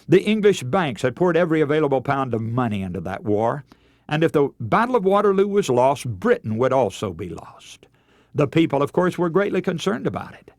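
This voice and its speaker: Expressive man preaching a radio sermon. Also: medium pitch, dry recording, studio quality. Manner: measured, earnest, oratorical delivery. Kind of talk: radio sermon with illustrative stories.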